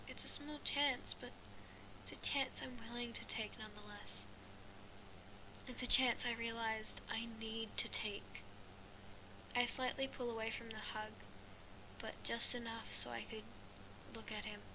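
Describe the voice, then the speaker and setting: High-voiced woman reading aloud, noisy recording. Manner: halting, stumbling and rereading phrases. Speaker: amateur fan fiction reader. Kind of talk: reading a first-person story aloud.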